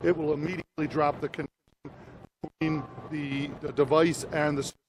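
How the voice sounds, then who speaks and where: A man's voice: on the high side, level tone, fairly quick. A middle-aged man giving explanatory product talk as a company representative, into an interviewer's handheld microphone. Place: large busy trade show hall, indoors. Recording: noisy exhibition hall behind voice.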